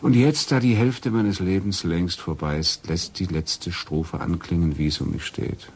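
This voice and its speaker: An actor playing a charming, melancholic middle-aged lover in a radio drama, flattering and teasing in a theatrical way. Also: male voice, low-pitched, a little slow, breathy edge. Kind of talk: radio drama acting, intimate dialogue.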